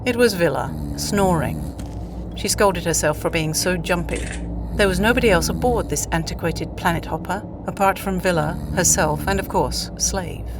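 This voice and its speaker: A woman's voice: on the low side, normal speed, background noise. A woman reading scripted story narration for an audio play.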